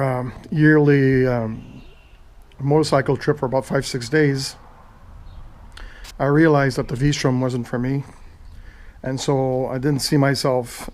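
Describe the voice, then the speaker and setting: A man's voice: mid-range voice, a little mellow. A man in his fifties from Quebec with a strong French Canadian accent, French his first language, rambling casually through a home video with many fillers and restarts. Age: 50s. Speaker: retired military motorcycle owner.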